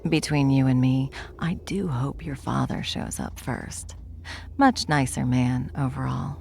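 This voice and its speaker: Woman acting a deep, creaky, smug villain. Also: lively intonation, a little slow, a little mellow, a little hiss or hum, full character voice. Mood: wry, conversational. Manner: wry, drawling, taunting, flippant. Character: bored, smug, powerful ancient villain toying with captives. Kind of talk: ASMR roleplay acting.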